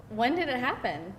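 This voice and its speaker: Woman acting an amused, slow-talking young date. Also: a bit bright, exaggerated voice. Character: amused, awestruck woman in her late twenties on a date. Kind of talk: live screenplay table read. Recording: echoey room, rumbling noise.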